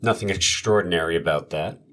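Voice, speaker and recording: Deep-voiced, guarded police witness, played by a man. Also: fairly quick, a bit bright. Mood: matter-of-fact. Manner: clipped, noncommittal, formal answers. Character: guarded, terse male police officer on the witness stand. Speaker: actor reading a witness in a trial re-enactment. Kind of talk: dramatized trial transcript reading.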